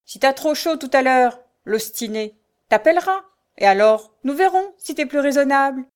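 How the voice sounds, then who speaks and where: A cruel old beggar woman from a dramatic novel, acted out by a woman narrator. Her speech is coarse and spiteful, full of sneers and scolding. The voice rides high and forceful, always close to rage. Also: lively intonation, moderate pace. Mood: sneering menace. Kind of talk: dramatic audiobook character voice.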